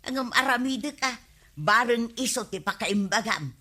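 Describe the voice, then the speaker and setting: A woman's voice: on the high side, lively intonation, normal speed, bright, forceful tone. A woman with an Ilocano accent playing a nagging but caring village wife in a radio comedy drama, scolding and exclaiming. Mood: grudging.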